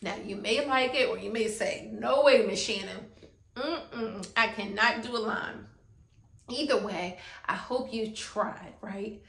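A woman's voice: mid-range voice, takes it slowly, vocal fry, a bit bright. A woman in her thirties teaching young children as a preschool teacher, encouraging them and often checking in with questions. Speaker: preschool teacher hosting an art lesson. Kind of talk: instructional talk to young children. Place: small indoor classroom-style room. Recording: echoey indoor room.

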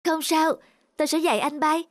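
A woman's voice: high voice, fairly even intonation, brisk, noisy background, lightly acted. A woman voicing a friendly, encouraging young female parrot in a cartoon dub over music. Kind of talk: cartoon dubbing. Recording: loud music under the voice.

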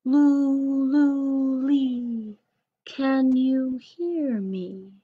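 Woman as a lilting, high-voiced little shepherdess. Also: dark tone, husky, dull audio, full character voice. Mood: plaintive singing. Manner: lilting singsong, drawn-out calls. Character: gentle, anxious little shepherdess girl singing and calling tenderly.

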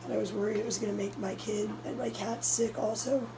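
A young woman with a hoarse voice, telling a story in a casual vlog, urgent and breathless, filmed close up on a phone in a small room indoors. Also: mid-range voice, steady tone, brisk, background noise. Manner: breathless, urgent retelling of a scare. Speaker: cat vlogger. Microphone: phone camera held close, low angle.

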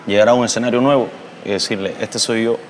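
A man's voice: on the low side, fast, slight background noise. A Cuban musician in his thirties answering interview questions in a reflective, hesitant way, with a Cuban Spanish accent, filmed seated indoors by a large window. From Cuba. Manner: reflective, hesitant with fillers and restarts, expressive hand gestures.

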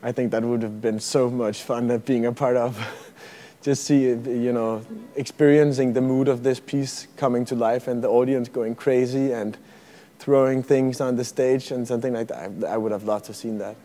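A Danish man in his thirties with a mellow, warm voice. He speaks softly and a bit hesitantly, pausing on fillers as he answers. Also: medium pitch, fairly even intonation. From Denmark. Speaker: violinist. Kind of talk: interview answers. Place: museum gallery.

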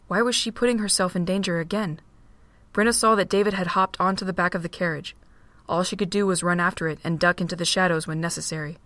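A woman narrates a fan-made audio drama with a steady, plain delivery. Her voice sits low, recorded dry and close with no room around it. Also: dry recording.

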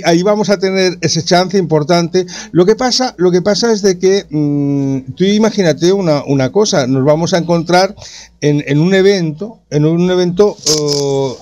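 A man's voice: fairly high pitch, darkish tone. A man from Spain with a Spanish accent, hosting a sports talk radio show with many hesitations and restarts. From Catalonia, Spain.

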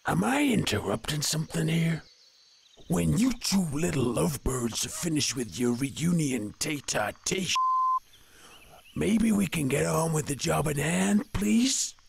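An actor playing a gravelly, impatient animal crime boss in a video game cutscene, sarcastic and scheming. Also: male voice, on the high side, lively intonation, measured pace, a little hiss or hum, exaggerated voice. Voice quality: gravelly, raspy.

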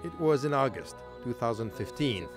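A male reporter narrating a news feature in a measured, scripted voice-over. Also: mid-range voice. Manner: measured, even storytelling delivery. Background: music bed.

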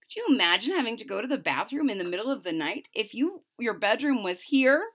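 Woman, forties, high bright voice, expressive children's read-aloud, theatrical emphasis, casual asides. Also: a little fast. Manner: theatrical, stressing key words. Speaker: storyteller reading a picture book aloud. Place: small room at home.

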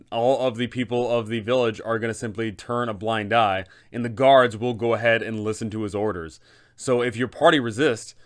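Man, thirties, medium pitch, explanatory storytelling, emphatic, tabletop game guide host. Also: a bit bright. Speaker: host and game master giving advice.

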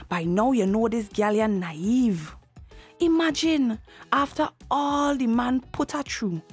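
A woman's voice: fairly high pitch, a little mellow, husky. A woman with a Tobagonian accent narrating a drama recap in a gossipy, teasing storytelling style. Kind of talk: scripted storytelling narration in dialect.

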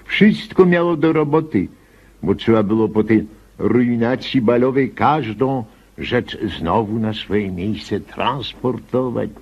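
Slow, husky folksy servant. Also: male voice, medium pitch, muffled recording, exaggerated voice. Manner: folksy, rambling, deferential. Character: deferential, plain-spoken old-fashioned male household servant. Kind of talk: radio drama acting.

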